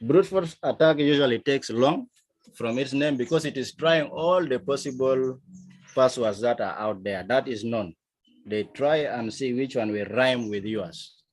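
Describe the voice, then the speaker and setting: A man from South Sudan with a South Sudanese accent, teaching a lesson in a deliberate, emphatic manner. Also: medium pitch, low-quality recording. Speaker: instructor. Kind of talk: digital literacy lesson, explaining to learners.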